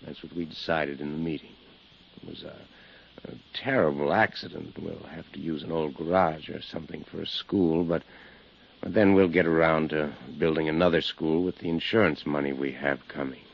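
Big smug town boss, American, very low pitch, self-assured menace, dull vintage radio recording. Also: male voice, fairly even intonation, normal speed, creaky, husky, heavily acted. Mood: brusque. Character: big smug bullying town boss, threatening under politeness. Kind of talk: 1950s radio drama acting. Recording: muffled 1950s radio recording.

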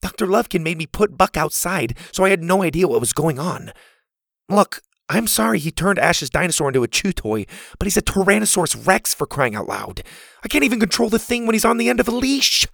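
A man voicing an anxious, defensive boy in a storybook, pleading and exasperated in a hurried way. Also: high voice, sing-song, vocal fry, fairly bright tone, dry recording, clean studio sound, pitched above the performer's own voice, exaggerated voice.

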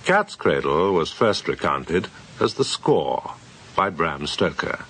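A man reading radio credits as an announcer, in a formal and measured manner. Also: low-pitched, very animated, fairly bright tone, a little hiss or hum. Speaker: closing announcer.

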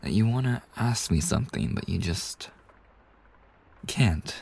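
A man acts out a kind young male bartender for an ASMR roleplay. His voice is deep and soft, with a husky breathiness. He takes his time, pausing hesitantly, and stays polite and attentive throughout. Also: expressive, heavily acted. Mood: reassuring, tender. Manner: courteous, soothing, hesitant pauses. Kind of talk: ASMR roleplay, soft intimate scripted acting.

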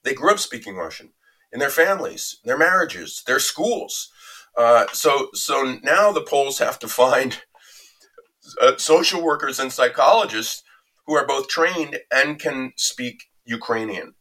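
A man with a bright, forceful tone and a mid-range pitch speaks as an interview guest. He talks in a measured, reflective way and builds his answer through anecdotes. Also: animated, rough recording. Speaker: interview guest recounting a reporting trip.

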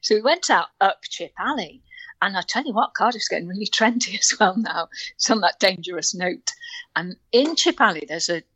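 An older woman chats and tells stories with plenty of laughter. Her pitch leaps and swoops all over the place and often breaks into bright, high squeals. The sound is dry and close. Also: animated, moderate pace, husky, no echo, low-quality recording. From North Wales. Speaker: guest. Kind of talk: casual chat.

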